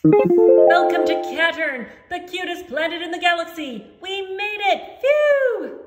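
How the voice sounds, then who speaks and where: A woman reads a children's story in a high, very animated voice with playful theatrical delivery. She takes her time, in an echoey room with music behind. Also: slow pace. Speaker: children's author reading aloud. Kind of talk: animated storybook read-aloud with character voices. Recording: roomy echo with music behind.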